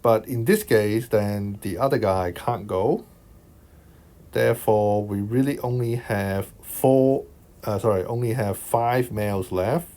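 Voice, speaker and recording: A man from Hong Kong with a Hong Kong accent talks through a worked maths problem in a slow, deliberate way. His voice sits on the low side, and he thinks aloud, often asking "right?" to check the listener is following. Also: warm tone. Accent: Hong Kong Cantonese. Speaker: maths tutor. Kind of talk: explaining a worked exam solution over screen recording. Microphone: voice-over on screen recording.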